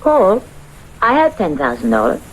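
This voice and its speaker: A woman's voice: mid-range voice, huge pitch swings, normal speed, rough recording. An actress playing a devoted, insistent woman in love in a 1970s radio drama, speaking earnestly. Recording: vintage radio broadcast, faint noise.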